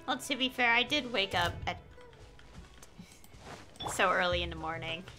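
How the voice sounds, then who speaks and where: A woman's voice: fairly low pitch, expressive, moderate pace, pushed and bright. A young adult woman streaming a game, chatting casually in a rambling way. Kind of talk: casual chat while gaming. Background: faint game music.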